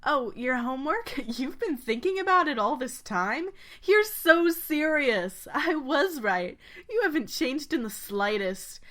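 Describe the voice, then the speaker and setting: A woman playing a friendly young female pegasus knight in a game fan dub, with a gentle, familiar way of talking. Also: high-pitched, big pitch swings, measured pace, few pauses, heavily acted. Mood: teasing. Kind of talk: acted game dialogue.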